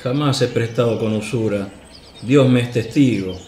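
An actor playing a greedy, grumbling old beggar in a radio play, whining indignantly. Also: male voice, medium pitch, moderate pace, darkish tone, natural voice. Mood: complaining. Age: older. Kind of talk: Spanish-language radio play acting. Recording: roomy echo, faint birdsong noise.

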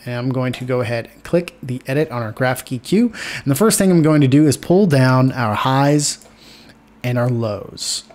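A man's voice: mid-range voice, moderate pace, a little mellow. Casual man hosting an editing tutorial. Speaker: video editing tutorial host. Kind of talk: casual software tutorial narration.

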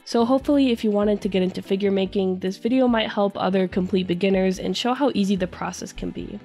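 A woman's voice: mid-range voice, fast, warm tone, faint music behind. A woman with a congested, slightly nasal voice from a cold, narrating a friendly, explanatory craft tutorial as a voice-over. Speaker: craft YouTuber.

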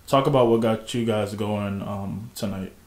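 Male moderator, monotone questions, echoey room. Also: lowish voice, moderate pace. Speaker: press conference moderator. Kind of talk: post-game interview questions.

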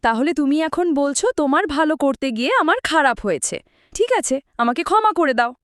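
A woman plays a demanding cartoon village wife with a high voice and swooping intonation. The sound is very dry. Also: lively intonation, quick pace, a bit bright, no echo. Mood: scolding. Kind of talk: cartoon voice acting.

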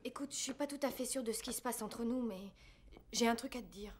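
Woman acting caring young student, French accent, fairly high voice, tentative, dubbed film. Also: brisk, a little hiss or hum, lightly acted. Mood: hesitant, concerned. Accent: French (France).

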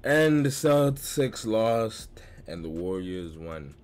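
A man's voice: fairly low pitch, big pitch swings, slow pace, darkish tone, a little hiss or hum. A young man hosting a reaction video from his bedroom, talking in loose slang and laughing often. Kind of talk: casual reaction commentary. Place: small bedroom with gaming chair.

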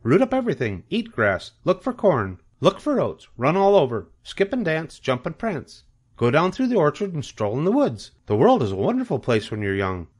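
Man acting female goose, storybook, raised pitch, excitable, bossy, chattering repetitions. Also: slightly rough, heavily acted. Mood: exuberant. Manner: repeats phrases rapidly, urging.

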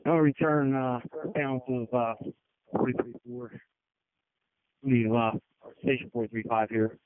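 A man's voice: medium pitch, little pitch movement, unhurried. A man acting as fire chief in command, giving short, matter-of-fact orders over a two-way radio. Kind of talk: emergency radio traffic, incident command. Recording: tinny narrowband fire radio transmission.

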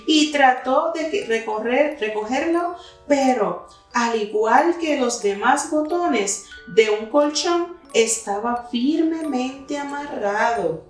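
Echoey, slow-reading woman storyteller. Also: sits high, animated. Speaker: children's story reader, teacher. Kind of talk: expressive picture-book read-aloud for children. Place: indoor room with wooden cabinet and plants. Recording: very echoey room, distant mic, faint music.

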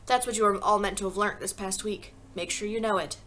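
A woman voicing a principled schoolteacher in an audiobook, speaking politely and earnestly with quiet firmness. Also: medium pitch, fairly even intonation, brisk, a little hiss or hum, lightly acted. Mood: matter-of-fact. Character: gentle, principled young schoolteacher, quietly firm. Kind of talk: audiobook character voice.

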